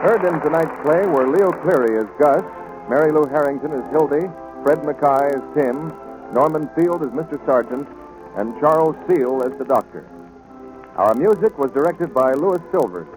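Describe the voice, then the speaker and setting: A man's voice: a little high, animated, normal speed, low-quality recording. Formal 1940s radio announcer man, music behind.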